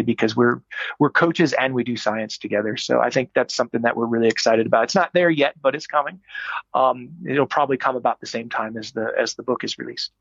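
A man's voice: mid-range voice, creaky, a bit bright, no room sound, dull audio. A middle-aged man, a strength coach, answering interview questions in a thoughtful, explanatory way with frequent fillers. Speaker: strength coach, podcast guest.

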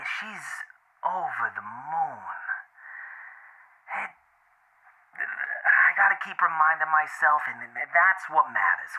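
A very bright, sharp-edged man played by an actor swings widely in pitch as he rambles. He is a wisecracking, sweary, anxious boyfriend in a found-footage audio drama, given to sardonic asides. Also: heavily acted, rough recording. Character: anxious, wisecracking, foul-mouthed boyfriend named Robert.

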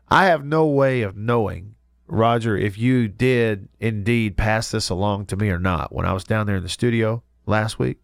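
Congested radio host, stuffy voice. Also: male voice, fairly low pitch, no echo, clean studio sound. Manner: easygoing, chuckling, frequent restarts. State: congested from a cold. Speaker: radio sports talk host. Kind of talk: casual radio chat. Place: radio studio.

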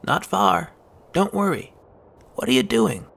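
A man voicing a cautious young boy who speaks in a hushed, tentative way. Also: sits high, expressive, a little slow, vocal fry, husky, voice pushed up, full character voice. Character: young boy, cautious, tender yet impatient.

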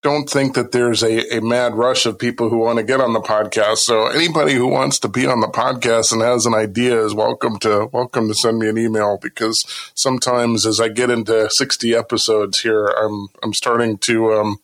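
A man's voice: medium pitch, little pitch movement, moderate pace, hardly any pauses, fairly bright tone, rough recording. A man hosting a legal podcast, chatting casually and with self-deprecating humor as he interviews guests. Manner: easygoing, self-deprecating, chuckles. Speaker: podcast host, appellate lawyer.

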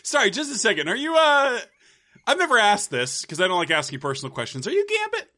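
A man's voice: sits high, wildly swooping pitch, fast talker, pushed and bright, pitched above the performer's own voice, exaggerated voice. A man improvising an eager male game company employee who keeps breaking into giggles. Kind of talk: improvised comedy sketch.

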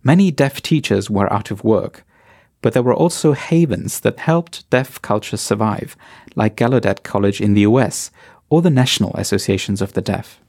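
A man hosting a podcast, giving scripted narration in a measured, explanatory way. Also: fairly low pitch, a little mellow. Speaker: podcast host.

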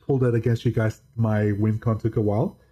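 A man guesting on a podcast, talking in a deadpan monotone and often stopping to restart his sentences. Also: lowish voice, a little fast, husky. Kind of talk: casual chat.

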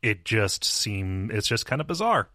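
Low, bright-voiced podcast man. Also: sing-song, measured pace, no echo, studio quality. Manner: hesitant, restarts, laughs along. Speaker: podcast co-host. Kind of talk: casual game discussion.

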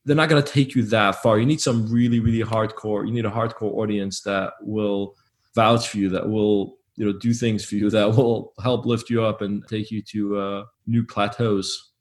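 A man with a low-pitched voice speaks loudly and with emphasis, doubling up words as he makes his point. He talks in a relaxed interview manner about running his business. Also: normal speed. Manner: loud, emphatic, repeats words. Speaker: guest, business owner. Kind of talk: casual interview talk.

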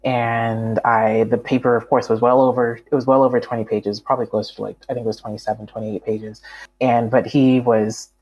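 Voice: mid-range voice, level tone, a little fast, darkish tone, slightly rough, highs cut off. A speaker in their thirties from Malawi, reflective and rambling, with many fillers and restarts as an interview guest. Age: 30s. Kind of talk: reflective conversational storytelling.

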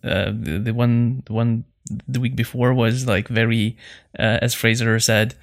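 A man co-hosting a podcast in casual, unscripted talk, halting and often restarting his phrases. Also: mid-range voice, flat delivery, vocal fry. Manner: halting, with repeated false starts. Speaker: podcast co-host and producer.

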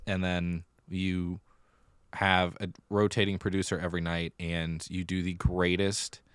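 A man's voice: deep voice, little pitch movement, unhurried, clean tone, dry recording. A man co-hosting a podcast, chatting casually and emphatically about musical theatre. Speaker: podcast co-host.

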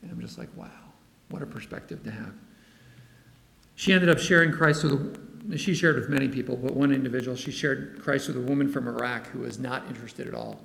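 Gravelly man telling a mission story. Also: medium pitch, fairly quick. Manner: animated storytelling, acts out quoted dialogue. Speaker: missionary speaker. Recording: echoey hall, long reverb.

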